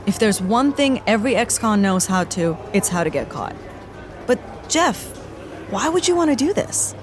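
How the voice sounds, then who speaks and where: A woman playing a brisk team leader. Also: mid-range voice, sing-song, over music, natural voice.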